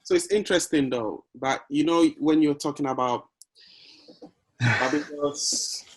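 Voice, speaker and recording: A man's voice: fairly high pitch, moderate pace, slightly rough, low-quality recording. A man in a casual podcast conversation, talking thoughtfully and reflecting on what he hears, often asking questions. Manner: reflective, talks things through and asks questions.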